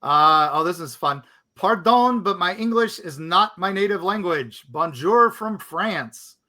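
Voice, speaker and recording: Bright-voiced man reading listener letters. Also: sits high, expressive, a little slow. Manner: loose, filler-heavy, reads letters aloud plainly. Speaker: podcast co-host. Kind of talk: casual chat, reading listener stories.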